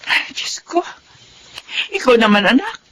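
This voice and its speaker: An actress playing an expressive, caring but exasperated middle-aged mother in a Filipino radio drama. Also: high-pitched, a little slow, a little hiss or hum, exaggerated voice. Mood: scolding.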